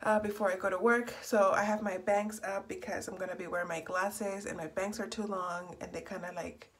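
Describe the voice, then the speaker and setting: A young woman, hoarse from a cold and slightly raspy, chatting in a rambling, self-correcting way while doing her makeup in a small bedroom. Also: mid-range voice, fairly even intonation, brisk. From Texas. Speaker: beauty vlogger. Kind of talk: casual get-ready-with-me chat. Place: small bedroom in front of a door.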